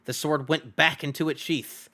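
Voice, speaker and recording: A man's voice: a little high, fairly even intonation, normal speed, pushed and bright. A man reading a fantasy novel aloud as a streamer, in dramatic storybook narration.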